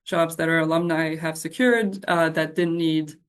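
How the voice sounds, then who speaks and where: A woman with a slightly low voice that moves very little in pitch. She answers questions informally as a staff member, hesitating as she goes and offering reassurance. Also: steady tone, moderate pace.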